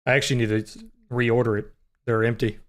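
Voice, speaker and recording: A young man with a naturally deep voice that sometimes cracks high, hosting a trading livestream in relaxed, filler-heavy chat. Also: a little fast. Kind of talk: casual live-stream chat with chat readers.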